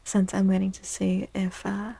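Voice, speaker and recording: A woman livestream host speaking in a soft, gentle ASMR style, often half-whispering in a breathy, soothing and affectionate way. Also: medium pitch, monotone, normal speed. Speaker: livestream host doing tarot readings.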